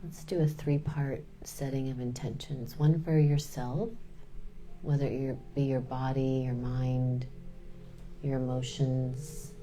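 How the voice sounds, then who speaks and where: A woman leading a guided meditation in a soothing, unhurried way, pausing between short phrases. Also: rumbling low, little pitch movement, dark tone, soft background music, rough recording.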